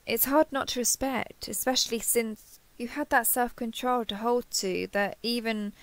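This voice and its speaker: Woman acting as a flustered, kindhearted young woman, slightly gravelly. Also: a little high, steady tone, normal speed, no room sound, lightly acted. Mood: earnest, awkward. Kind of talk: intimate roleplay audio drama.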